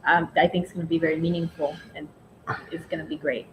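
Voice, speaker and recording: A woman's voice: little pitch movement, quick pace, poor audio. A woman from Nicaragua whose first language is Spanish, chatting casually and earnestly as a guest, often repeating herself. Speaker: guest, recovery retreat team member. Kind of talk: casual heartfelt chat. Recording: background rumble and noise.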